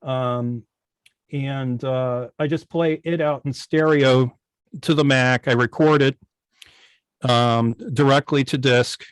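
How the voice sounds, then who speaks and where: Guy on a video call walking through tech stuff step by step, medium pitch, no room echo. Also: steady tone, slow pace, smooth. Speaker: guest, old-time radio station operator. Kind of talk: casual technical explanation over a video meeting. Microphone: video call microphone.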